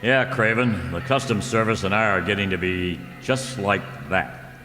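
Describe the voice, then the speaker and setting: Man playing a low-voiced hard-boiled radio detective. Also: moderate pace, natural voice. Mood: pressing, impatient. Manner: hard-boiled, slangy, clipped. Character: dogged, wisecracking male private investigator. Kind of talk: old-time radio detective drama acting. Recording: reverberant hall, stage-like sound.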